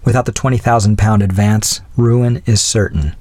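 A man's voice: lowish voice, steady tone, moderate pace, a little mellow, no echo, natural voice. A man voicing a troubled young ship owner who speaks in a formal, polite and grave way.

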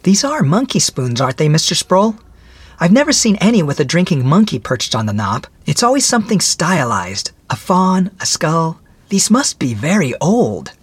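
A woman's voice: low voice, normal speed, slightly rough, no echo, exaggerated voice. Woman acting a vivacious, swooping young bride-to-be. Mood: curious. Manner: excitable, eager, chattering. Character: vivacious, eager young woman shopper, newly engaged. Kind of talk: acted story dialogue.

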